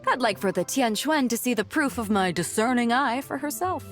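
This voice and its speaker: A woman's voice: a little high, expressive, normal speed, soft background music, close to an everyday voice. A woman playing a breezy, confident, big-hearted ship captain in a video game, casual and outgoing. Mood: breezy, generous. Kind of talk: scripted video game dialogue acting.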